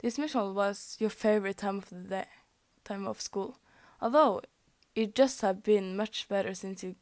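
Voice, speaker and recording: A woman's voice: medium pitch, normal speed, a little mellow, dry recording. A young woman whose first language is Norwegian, reading fan fiction aloud, often tripping over words and laughing at her slips. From Norway. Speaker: fanfic reader.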